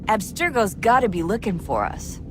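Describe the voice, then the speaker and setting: A woman's voice: mid-range voice, very animated, normal speed, lightly acted. Woman acting a practical tech specialist, music behind. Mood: wary, practical. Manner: matter-of-fact.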